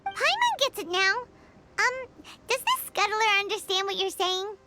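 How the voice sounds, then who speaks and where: A woman voicing a tiny, excitable female companion sprite in a video game, chirpy and eager, talking about herself in the third person. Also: extremely high pitch, unhurried, heavily acted. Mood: curious. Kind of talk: video game dub acting. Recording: game audio with music underneath.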